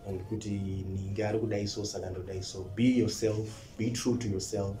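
Deep-voiced, mellow Zimbabwean young man. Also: level tone, brisk, soft background music. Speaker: musician guest. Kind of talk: studio interview. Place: indoor TV studio. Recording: roomy studio with faint noise.

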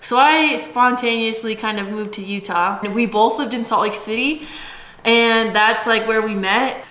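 Young woman vlogger in her late twenties, from Washington state, giggly storyteller, bright tone, roomy kitchen sound. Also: fairly high pitch, normal speed. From Washington state, USA. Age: late 20s. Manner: chatty storyteller, giggly, lots of "like" and "um". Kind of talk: casual chat. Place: bright home kitchen, indoors. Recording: echoey room.

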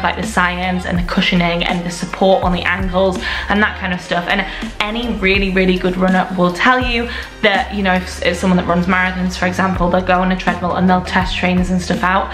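A woman's voice: lowish voice, flat delivery, a little fast. A young woman in her twenties chatting to camera at home, reviewing products with gushing enthusiasm. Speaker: lifestyle vlogger. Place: indoor room at home. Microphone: camera at desk distance. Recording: echoey room with faint music.

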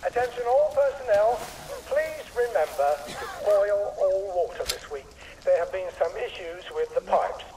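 Game announcer: courteous public-address voice, high-pitched, soft-toned. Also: male voice, expressive, a little slow, long pauses, a little hiss or hum, rough recording. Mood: matter-of-fact. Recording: faint game ambience behind.

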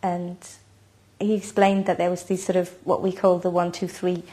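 A woman's voice: lowish voice, level tone, moderate pace, mellow. A woman in her thirties from Iceland, a native Icelandic speaker, giving a personal interview account at home. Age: 30s. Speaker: mother telling her family's story. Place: home kitchen, indoors.

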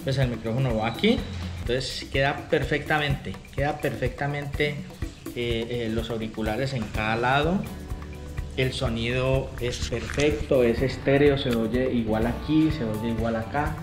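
A man's voice: medium pitch, fairly even intonation, unhurried. A man in his forties with a Colombian accent, giving a casual product review to camera as a motorcycle vlogger. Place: living room at home, indoors. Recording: roomy echo, music underneath.

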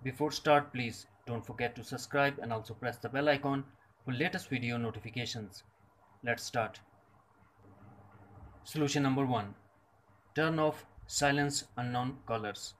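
A man's voice: mid-range voice, moderate pace, pauses often, fairly bright tone, slight background noise, low-quality recording. A man reading a scripted step-by-step tech tutorial in short, separated instructional phrases. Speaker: tutorial presenter.

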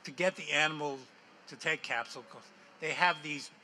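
An older man pitching a product to a panel and answering their questions in a technical, matter-of-fact way, speaking into a handheld mic in a large hall. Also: normal speed, sharp tone, a little hiss or hum. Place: large hall with stage, indoors.

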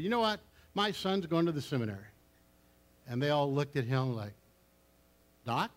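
An older man, a pastor, preaching a story-filled sermon in a church sanctuary, rising loud on key phrases and dropping low, recorded from a camera at the back. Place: church sanctuary with seated congregation. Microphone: far camera at the back of the church.

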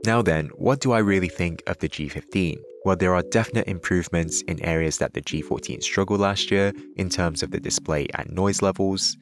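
A man narrating a scripted tech review in a measured, even way. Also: low-pitched, a little hiss or hum.

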